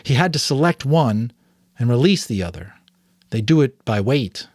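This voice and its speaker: A man's voice: mid-range voice, lively intonation, normal speed, darkish tone, no echo, studio quality, voice pushed up, lightly acted. A man voicing an earnest, anxious and curious adolescent boy in an audiobook, with an eager, questioning manner. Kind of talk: audiobook character reading.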